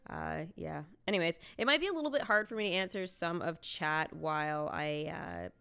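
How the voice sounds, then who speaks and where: A woman's voice: sits low, sing-song, moderate pace, vocal fry, sharp tone, clear, resonant tone. A woman chatting casually to viewers as she gives play-by-play commentary on a game, with informal exclamatory asides. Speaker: live game streamer. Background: video game music.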